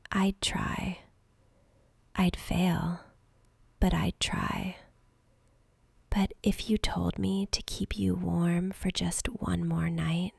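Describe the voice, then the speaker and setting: Woman doing a lonely vampire girl asmr roleplay, breathy close whisper, crackly, super slow n tender. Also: lowish voice, darkish tone, no echo, heavily acted. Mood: earnest, pleading. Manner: slow, intimate, hesitant pleading. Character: lonely centuries-old vampire girl, possessive, tender, vulnerable. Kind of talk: whispered soft-spoken ASMR romantic roleplay. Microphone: close mic.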